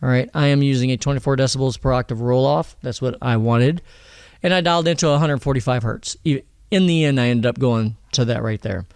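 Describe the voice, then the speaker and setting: A man explaining vocal mixing in a relaxed, rambling tutorial, often checking in with "all right?" and "okay?". He has a thin voice and is talking in his home studio. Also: medium pitch, fairly quick, clear, resonant tone, dry recording. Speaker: music producer teaching vocal mixing.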